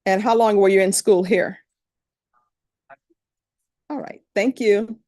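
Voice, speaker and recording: A middle-aged woman judge with a Louisiana accent talks to a jury panel in a courtroom. She speaks in a plain-spoken, conversational way. Her voice sits at an ordinary pitch and sounds close and dry. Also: fairly even intonation, takes it slowly, dark tone, no room sound.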